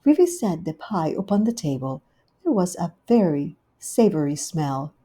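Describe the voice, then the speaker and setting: A woman reading a classic children's story aloud in a gentle, clear storytelling manner. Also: on the low side, very animated, moderate pace. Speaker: storybook reader.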